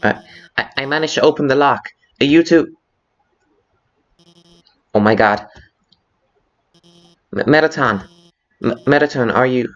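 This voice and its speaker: A man's voice: medium pitch, fairly bright tone, close to an everyday voice. A man voicing a nervous, guilt-ridden scientist with a heavy stutter in a fan dub of a video game. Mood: flustered, relieved. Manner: heavy stutter, halting, anxious. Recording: slightly dull lo-fi audio.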